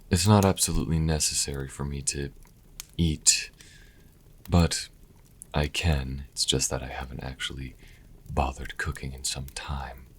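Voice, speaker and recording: Man acting as a vampire healer, extremely low voice, slow delivery, haughty and wry, grudgingly kind, audio roleplay. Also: a little hiss or hum. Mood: proud, reluctant. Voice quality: very deep, husky, breathy at times. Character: sassy male vampire healer, proud, wry, grudgingly charitable. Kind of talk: audio roleplay, intimate in-character monologue to listener.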